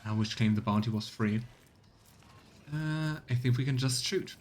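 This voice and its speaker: Male streamer, mid pitch, casual musing play-by-play. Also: normal speed, slight background noise. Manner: relaxed, musing, reads text evenly. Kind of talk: casual gaming commentary and reading game text aloud.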